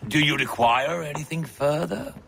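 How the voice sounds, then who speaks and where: A man's voice: a little high, animated, moderate pace, gravelly, noisy background. An actor playing a flustered, eccentric male tavern keeper in a game, hesitant and servile. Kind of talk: dramatic game cutscene acting.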